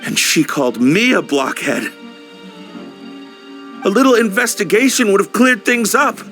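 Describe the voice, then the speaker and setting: An actor playing a grim, grieving, vengeful guard captain in a video game, solemn and deliberate, with a gravelly voice. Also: male voice, high voice, lively intonation, fairly bright tone, faint music behind, exaggerated voice. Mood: bitter disbelief. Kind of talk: dramatic video game voice acting.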